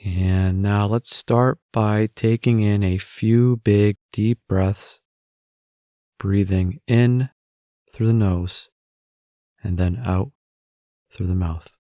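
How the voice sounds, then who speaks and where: Slow, low-voiced man guiding meditation. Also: no echo, clean studio sound. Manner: calm, gentle instructing with long pauses. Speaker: meditation guide. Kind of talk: guided meditation.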